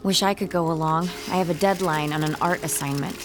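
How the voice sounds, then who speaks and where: A troubled, stubborn young female artist played by an actress in an audio drama. Her voice sits fairly low, wry and direct, with faint music behind it. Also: steady tone, brisk, natural voice. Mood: wry, casual. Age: young adult. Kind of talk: intimate audio drama acting.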